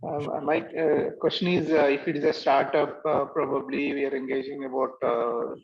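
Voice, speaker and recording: A man asking a business question over a video call, hesitant with many "uh" pauses. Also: medium pitch, little pitch movement, vocal fry. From India. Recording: dull, muffled call audio.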